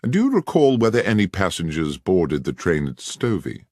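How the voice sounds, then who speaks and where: A man reading aloud, voicing a courteous, probing gentleman detective. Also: low-pitched, wildly swooping pitch, moderate pace, no echo, clean studio sound, heavily acted. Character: keen, incisive gentleman detective.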